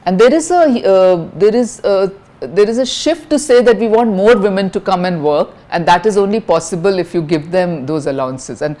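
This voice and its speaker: A middle-aged woman from India with an Indian English accent and a high-pitched voice, answering interview questions frankly, with lots of fillers and restarts. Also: expressive, normal speed, dark tone. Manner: frank, many fillers and restarts, mixes in Hindi phrases.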